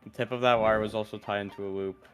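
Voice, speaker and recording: A man chatting casually as co-host of a game stream, with a loose, sweary manner. His voice is lowish and smooth, with faint music behind it. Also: fairly even intonation, fast. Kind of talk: casual live stream chat.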